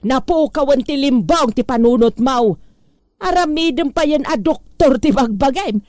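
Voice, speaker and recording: A woman's voice: sits high, animated, normal speed, a little mellow, no echo, studio quality. A woman with an Ilocano accent playing an exasperated, suspicious wife who scolds and questions in a comedy drama. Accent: Ilocano, northern Philippines. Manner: nagging, incredulous questioning.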